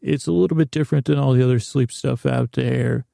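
Gravelly, mellow man rambling a bedtime podcast. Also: mid-range voice, steady tone, no room sound, studio quality. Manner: meandering, halting, trails off mid-sentence; goofy, whimsical. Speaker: podcast host. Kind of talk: rambling improvised bedtime monologue.

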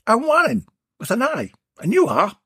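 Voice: medium pitch, wildly swooping pitch, normal speed, pushed and bright, slightly rough, no echo, studio quality, pitched above the performer's own voice, exaggerated voice. A storyteller voicing a jolly, cheeky male rubbish remover who banters and teases. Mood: chirpy. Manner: chirpy, teasing banter.

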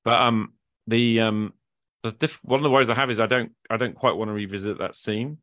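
A man's voice: fairly low pitch, fairly even intonation, fairly quick, fairly bright tone, no room sound, clean studio sound. A man speaking as a podcast host in casual, reflective conversation, thinking aloud and often qualifying himself.

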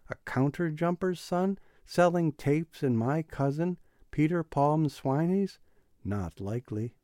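A man's voice: a little high, expressive, takes it slowly, mellow, no echo, clean studio sound, pitched above the performer's own voice. A man voicing an angry, scornful Irish father in an audiobook novel, indignant and emphatic. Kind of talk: audiobook character dialogue.

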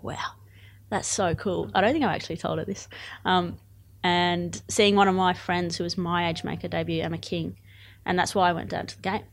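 A woman in her twenties with an Australian accent, chatting casually and enthusiastically as an athlete being interviewed. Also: on the low side. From born in Zimbabwe, moved to Australia aged eight. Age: 20s. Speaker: cricket player.

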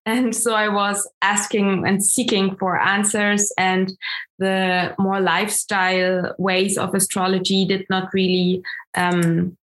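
A woman with a German accent, whose first language is German, speaking as an astrologer guest in an interview, pausing often and restarting her sentences. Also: medium pitch, flat delivery, a bit bright. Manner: hesitant, many ums and restarts. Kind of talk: interview conversation.

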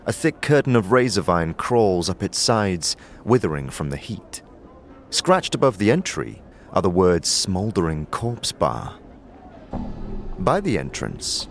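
A man's voice: low voice, a little hiss or hum. A man reading scripted second-person narration for a fantasy audio drama. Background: music.

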